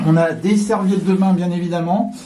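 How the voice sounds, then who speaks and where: A man's voice: high-pitched, fairly even intonation, moderate pace, warm tone. A man vlogger chatting casually as he walks through a hotel room, friendly and full of filler sounds. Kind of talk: casual walkthrough commentary. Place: indoors in a hotel, walking through corridors and a room.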